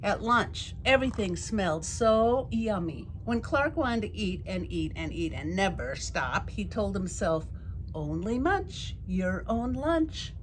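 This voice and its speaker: A woman reading a children's picture book aloud as a storyteller, with an expressive, deliberate delivery. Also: mid-range voice, slight background noise, poor audio.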